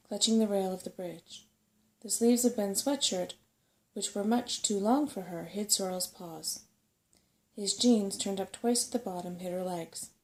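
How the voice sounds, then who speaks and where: A soft-spoken woman narrating a bedtime story in an ASMR style. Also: mid-range voice.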